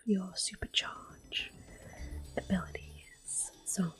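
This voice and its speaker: Female ASMR gamer, breathy whisper, gentle and reassuring, close-miked, talking through gameplay over soft music. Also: medium pitch, fairly even intonation, takes it slowly. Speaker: ASMR gaming host.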